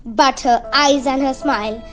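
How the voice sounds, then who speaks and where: A woman's voice: sits high, steady tone, unhurried, over music. A woman playing a bold, fussy mother with a chatty, insistent manner in an amateur radio play. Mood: grave, defiant. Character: Doris, outspoken, fussy, bold village mother.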